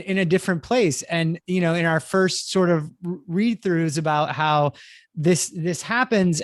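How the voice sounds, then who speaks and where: A man with a slightly raised pitch, recorded dry with little room sound. He moderates a casual discussion supportively. His phrasing is halting as he thinks aloud. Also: no echo. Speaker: panel moderator.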